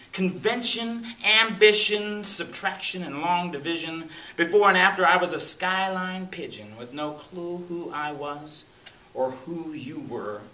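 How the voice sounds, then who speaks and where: Male spoken word poet, slightly high and very forceful, dramatic punched phrasing, live in a reverberant room on a distant mic. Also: slight background noise. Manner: dramatic, incantatory delivery with punched short phrases. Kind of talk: spoken word poetry reading, Beat-style list poem. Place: indoor public library room. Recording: roomy, distant microphone in library room.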